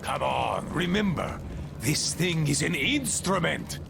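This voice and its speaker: An actor playing a bitter, commanding, eccentric old boatman in a video game, with a gravelly voice and a theatrical, grumbling way of rambling. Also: on the high side, lively intonation, slow pace, noisy background, heavily acted. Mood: scolding. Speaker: game character, leader of a small river crew. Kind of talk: dramatic video game monologue.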